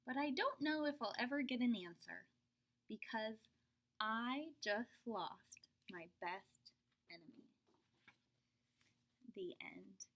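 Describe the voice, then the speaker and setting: A woman reading a children's picture book aloud, expressive, dropping to whispers for suspense and pitching up for the child's lines. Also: slow pace, pauses often. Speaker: storybook reader.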